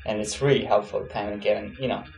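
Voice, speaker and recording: Young man, from Switzerland, student interviewee, quick speech, lowish voice, easygoing. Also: gravelly, rough recording. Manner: relaxed, many fillers, laughs easily. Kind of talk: casual interview answers.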